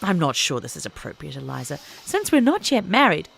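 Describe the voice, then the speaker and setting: A woman's voice: low-pitched, wildly swooping pitch, fairly quick, gravelly, a bit bright, a little hiss or hum, heavily acted. A woman narrator voicing a courteous, protective young fiancé in an audiobook story, mild and proper in manner. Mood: hesitant.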